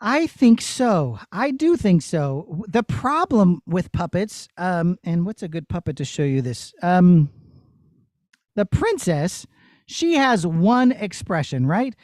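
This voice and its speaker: A man's voice: sits high, very animated, takes it slowly, a little mellow, no room sound, clean studio sound. A man working as a puppeteer, casually explaining his craft to children in an encouraging, conversational way. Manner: encouraging, conversational, checking in with listeners. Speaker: puppeteer giving a master class.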